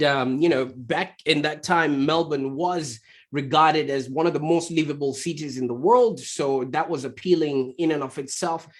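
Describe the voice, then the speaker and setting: A man from Zimbabwe, chatty and animated, telling his story as a guest on a call interview. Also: medium pitch. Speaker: guest, agency founder. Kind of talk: conversational interview over a call. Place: remote call.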